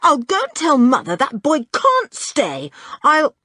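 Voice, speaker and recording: A woman's voice: lowish voice, sing-song, a little slow, no room sound, clean studio sound. A woman voicing a bold, hot-tempered girl, blunt and indignant, in a children's story. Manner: indignant, snappy. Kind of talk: children's audiobook character voice.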